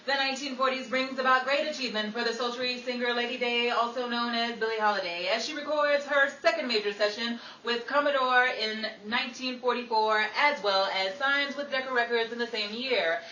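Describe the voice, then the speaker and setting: A woman's voice: a little high, fairly quick, few pauses, pushed and bright, dull audio. A woman playing a showy 1940s Hollywood radio newscaster, reading scripted trivia in a theatrical style. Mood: presentational. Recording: roomy, distant mic with faint noise.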